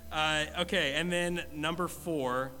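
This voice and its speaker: A man's voice: on the high side, fairly even intonation, slow, sharp tone, soft background music. A man with a stuffy, congested nose giving church announcements in a casual, self-deprecating way, then leading a spoken prayer. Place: church sanctuary. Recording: long hall reverb.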